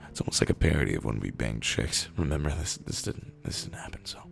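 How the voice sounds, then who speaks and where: A man with a hoarse, gravelly voice playing a defensive, bragging teenage football jock who stammers and blusters in a comic reading. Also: a bass voice, animated, fast talker, faint music behind, heavily acted. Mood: nervous bluster.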